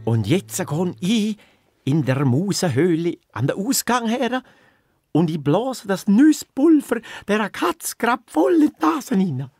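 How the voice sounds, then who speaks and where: A lofty, intellectual earthworm speaking with a Swiss German accent. The voice is high and slow, declaiming like a sage in a children's radio play. Guitar music is prominent in the recording. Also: male voice, big pitch swings, heavily acted. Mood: heroic, triumphant. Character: pompous, intellectual, cosmically minded male earthworm.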